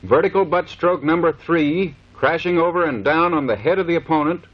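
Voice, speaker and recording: A man's voice: fairly high pitch, fairly quick. An American man giving formal, clipped, scripted instructional narration in the manner of a 1930s military training film. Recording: dull vintage 1930s film soundtrack.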